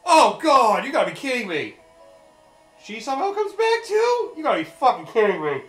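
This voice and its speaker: A co-commentator on a game playthrough, expressive and exclaiming, swearing often in casual chat. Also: high-pitched, moderate pace, reverberant, soft background music. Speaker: let's play co-commentator.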